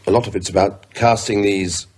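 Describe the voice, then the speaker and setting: A man playing a pedantic, grave scholar in a radio drama, speaking in a husky, hesitant, deliberate way. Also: lowish voice, fairly even intonation, darkish tone. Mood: matter-of-fact. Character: pedantic, grave male scholar delivering grim findings.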